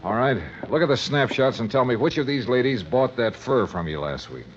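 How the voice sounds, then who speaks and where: A man's voice: medium pitch, animated, a little fast, a little hiss or hum, close to an everyday voice. An American man playing a shrewd, wry private investigator in clipped 1950s radio drama style. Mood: coolly confident. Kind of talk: 1950s radio drama acting and first-person narration. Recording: dull vintage 1950s radio recording.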